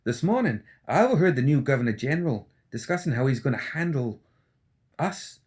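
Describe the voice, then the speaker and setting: A man's voice: medium pitch, big pitch swings, a little fast, a little mellow, voice pushed up, natural voice. A man voicing a jumpy, wild-eyed doctor and necromancer in an audiobook, with an anxious, agitated manner. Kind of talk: audiobook character acting.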